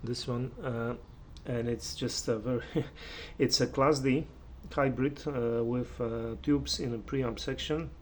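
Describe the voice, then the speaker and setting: A man's voice: medium pitch, fairly even intonation, slow pace, few pauses, a little hiss or hum. A man gives an unscripted spoken review of audio equipment, speaking hesitantly and often searching for his words. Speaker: audio reviewer giving listening impressions.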